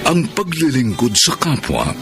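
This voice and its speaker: A man's voice: medium pitch, wildly swooping pitch, a little slow, over loud music. A man narrating a radio drama in a formal, scripted style, solemn and dramatic.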